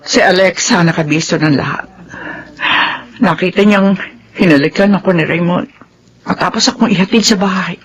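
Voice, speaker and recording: An actress playing a gentle, troubled widowed mother, earnest and pleading, in a Filipino radio drama. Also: lowish voice, moderate pace, pauses often, slight background noise, close to an everyday voice. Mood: dejected.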